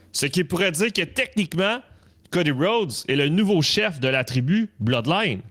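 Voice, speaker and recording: A man's voice: medium pitch, fairly bright tone. A man with a Canadian French accent co-hosting a casual live wrestling talk stream, often repeating words as he builds his point. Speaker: wrestling talk show co-host.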